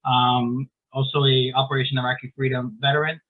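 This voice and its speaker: Man, from San Diego, video call, phone-line audio, flat monotone, halting with fillers. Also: medium pitch. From San Diego, California. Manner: halting, many ums and restarts. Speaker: veterans legal services coordinator. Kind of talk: online community meeting update.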